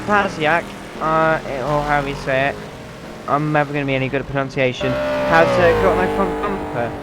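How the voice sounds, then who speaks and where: Nasal high-voiced English commentator. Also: male voice, moderate pace, loud music behind the voice, poor audio. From Lincolnshire, England. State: has a cold. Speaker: sim racing commentator. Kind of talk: live race commentary. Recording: loud background noise.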